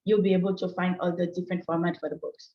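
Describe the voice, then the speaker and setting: A woman whose first language is French, giving an earnest, hesitant, informal pitch as a guest author at an online meeting. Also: little pitch movement, fast. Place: online video call. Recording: roomy, slightly dull video-call audio.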